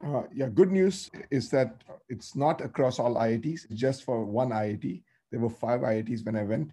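A man, a podcast guest giving thoughtful, measured answers in an interview. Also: medium pitch, dark tone, slightly rough. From India.

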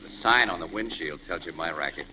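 An actor playing a breezy, wisecracking American newspaper crime photographer in a 1940s radio drama. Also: male voice, sits low, a little fast, sharp tone. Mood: easygoing, knowing. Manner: wisecracking, slangy, easygoing. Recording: noisy old radio broadcast recording.

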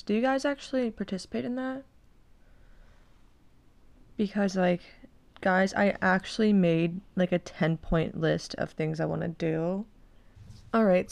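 A young woman in her twenties hosts her podcast in a loose, rambling way, leaning on "like" constantly. Her nose sounds stuffed up, and a gravelly vocal fry runs under a smooth voice. The sound is dry, with no room echo. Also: medium pitch, unhurried, darkish tone. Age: 20s. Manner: rambling, peppered with "like". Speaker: podcast host. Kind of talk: casual chat.

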